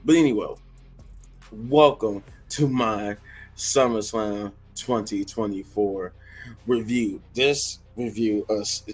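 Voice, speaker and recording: A hoarse man giving a casual, rambling wrestling review, full of fillers and restarts. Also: fairly low pitch, takes it slowly, soft background music, poor audio.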